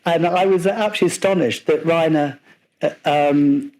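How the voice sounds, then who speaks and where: A man's voice: fairly high pitch, moderate pace, darkish tone, poor audio. A man hosting a session and introducing the guest speaker, hesitant, with many fillers. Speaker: program host.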